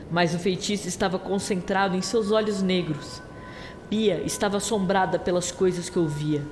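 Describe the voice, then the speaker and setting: A woman's voice: mid-range voice, a little mellow, a little hiss or hum. A woman narrating a scripted story as part of an audio drama. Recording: long reverberant hall-like echo. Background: clear music bed.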